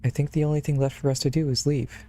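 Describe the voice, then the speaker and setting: A man's voice: fairly high pitch, little pitch movement, fast, warm tone, slight background noise. A man streaming a visual novel, reading its text aloud and commenting casually. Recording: clear game music behind the voice.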